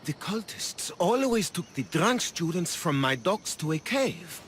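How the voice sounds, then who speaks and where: An actor playing a panicky, self-serving schemer of ancient Greece in a video game, anxious and pleading. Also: male voice, high voice, sing-song, a little slow, fairly bright tone, a little hiss or hum, heavily acted. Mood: wheedling, anxious. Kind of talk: video game character acting.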